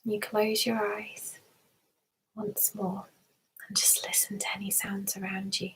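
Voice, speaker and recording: A woman softly guiding a meditation in an ASMR style, mostly in a breathy whisper, soothing and gentle, often repeating words. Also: medium pitch, flat delivery, measured pace, rough recording. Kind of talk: ASMR guided meditation roleplay.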